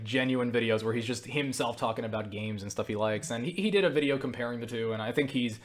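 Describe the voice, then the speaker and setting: A man talking in a casual, rambling monologue as he answers viewer questions, stumbling over words, interrupting himself and speaking bluntly. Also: on the low side. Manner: blunt, swears freely.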